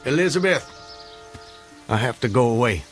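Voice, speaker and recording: An actor playing a proud, resolute Southern planter of the Civil War in a radio drama, speaking formally and gravely. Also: male voice, mid-range voice, animated, normal speed, faint music behind, close to an everyday voice. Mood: firm, tender. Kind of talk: radio drama acting, narrating and dialogue.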